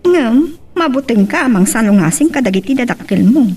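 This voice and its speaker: An actress playing a cautious young confidante in a radio drama, concerned and gently admonishing. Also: female voice, a little high, expressive, moderate pace, breathy edge. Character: cautious young female confidante giving sensible advice. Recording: distant from mic.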